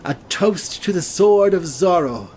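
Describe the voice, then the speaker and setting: A man plays a suave gentleman swordsman in an audiobook drama. His voice sits a little high for a man, with languid, courtly phrasing. Faint ambient sea noise lies behind it. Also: lively intonation, measured pace. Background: ambient sea sound bed.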